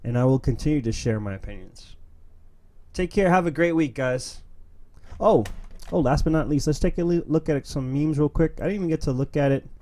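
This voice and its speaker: A man's voice: fairly high pitch, brisk, mellow. A young man from New York City hosting his own streamed opinion show, talking in an earnest, emphatic way with many fillers and restarts. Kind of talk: casual monologue, reading memes.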